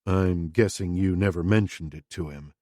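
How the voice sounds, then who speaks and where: A man voicing a calm interviewer who asks flat, measured, terse questions. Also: low voice, dry recording, clean studio sound. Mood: cool, probing.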